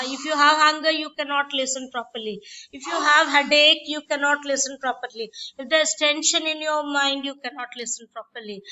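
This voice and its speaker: A woman's voice: high voice, bright, forceful tone. A woman lecturer explaining points in a classroom lecture, repeating herself with fillers. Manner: repetitive explanatory delivery with fillers. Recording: dull lo-fi recording.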